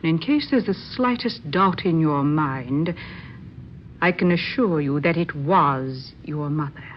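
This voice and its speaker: An actress playing an imperious, secretive medium, formal and measured, in old-time radio drama style. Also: female voice, low voice, animated. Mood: stern. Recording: dull, noisy old radio drama recording.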